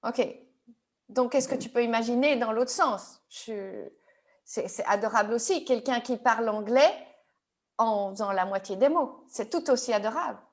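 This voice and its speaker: A woman with a notably high voice leads a tapping therapy session. She asks calm, patient questions one after another, drawing out her client's fears. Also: moderate pace. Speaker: EFT practitioner.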